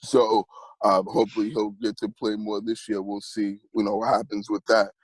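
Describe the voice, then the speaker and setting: A man's voice: on the low side, level tone, moderate pace, breathy edge, no room sound, poor audio. A young man co-hosting a sports podcast, talking casually with many fillers and restarts. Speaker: podcast co-host.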